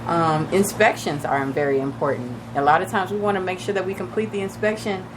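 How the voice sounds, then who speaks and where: A woman radio host with a raspy, slightly shaky voice from recently losing it, chatting casually and advising listeners directly. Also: on the low side, steady tone, fairly quick, background noise. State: recovering from lost voice, a little shaky. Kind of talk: casual radio talk on real estate. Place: radio studio.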